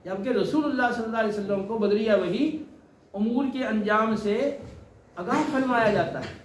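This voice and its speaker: A man preaching an Islamic sermon, explaining scripture in a deliberate, repetitive teaching manner. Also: extremely high pitch. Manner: deliberate, explanatory, repeats phrases. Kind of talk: sermon with Quran recitation and explanation. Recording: roomy echo with faint background noise.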